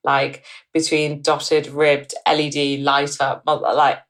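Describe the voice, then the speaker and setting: A woman's voice: deep voice, monotone, slow. A woman in her thirties from northern England, a company co-founder, talking in a chatty way on a podcast. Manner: chatty, with frequent ums and restarts. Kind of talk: podcast interview.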